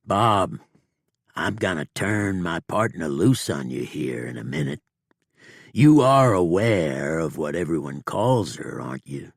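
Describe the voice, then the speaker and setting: A man voicing a cool, taunting male bounty hunter with a slow drawl in an audiobook. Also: deep voice, animated, vocal fry, husky, dry recording, studio quality, heavily acted. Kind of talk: audiobook character voice.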